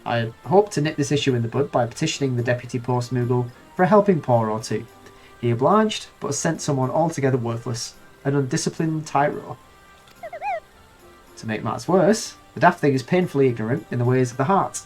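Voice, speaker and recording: A fussy moogle courier from a fantasy game, swamped and complaining about a useless new colleague, as a man with a head cold reads him aloud. The voice sits at a middling pitch with a stuffy, nasal tone, and the phrasing is ornate and exasperated. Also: expressive, a little fast, a little mellow, faint music behind, close to an everyday voice. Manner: flowery, exasperated read-aloud dialogue. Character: fussy, harried male moogle letter courier.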